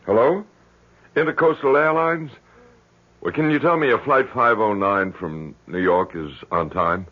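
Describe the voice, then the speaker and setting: A man's voice: on the low side, a little slow. An actor playing a fretful middle-aged father in a 1970s radio drama, stammering and impatient. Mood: nervous, flustered. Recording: muffled 1970s radio recording.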